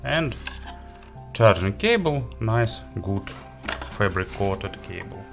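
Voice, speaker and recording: A man giving a casual gadget review and unboxing, halting and hesitant as he thinks aloud. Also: on the low side, lively intonation, vocal fry, over loud music. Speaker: audio gear reviewer.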